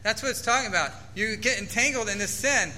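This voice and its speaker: A man's voice: sits high, quick pace, bright, forceful tone, slight background noise, low-quality recording. A man preaching a sermon to his church, earnestly reading scripture aloud and explaining it. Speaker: pastor.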